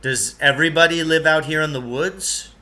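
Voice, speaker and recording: Man playing an inquisitive bright-voiced boy. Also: a little high, normal speed. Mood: curious. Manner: questioning, emphatic. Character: inquisitive, direct boy companion in a storybook.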